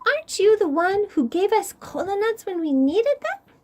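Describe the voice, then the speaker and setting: A woman's voice: very high-pitched, sing-song, moderate pace, a little mellow, slight background noise, voice pushed up. A woman voicing a tiny, grateful ant in a storybook, with a small, eager, polite voice.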